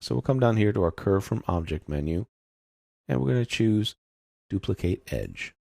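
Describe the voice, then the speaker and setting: A man narrating a step-by-step software tutorial as an instructor, explaining in an informal, unscripted way. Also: lowish voice, animated, moderate pace, creaky, no echo, clean studio sound.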